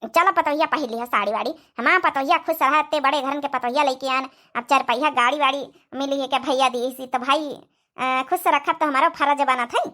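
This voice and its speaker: A woman acts out an eager older village mother-in-law for a cartoon comedy. The voice is extremely high and bright. She chatters and fusses in a rustic Awadhi accent. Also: a little fast. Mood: coaxing. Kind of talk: Hindi-Awadhi cartoon family comedy dialogue.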